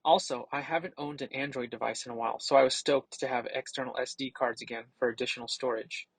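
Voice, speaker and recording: A man narrating a scripted product demo as a tech reviewer, casual and explanatory, with a gravelly, slightly husky voice. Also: medium pitch, fairly even intonation, fast talker, dry recording, low-quality recording.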